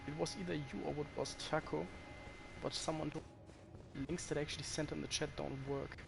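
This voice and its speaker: A man's voice: fairly high pitch, level tone, fairly quick, vocal fry, noisy background. A man with a German accent chatting casually to his viewers while he plays, swearing when the game frustrates him. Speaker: streamer. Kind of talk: casual gameplay commentary to chat.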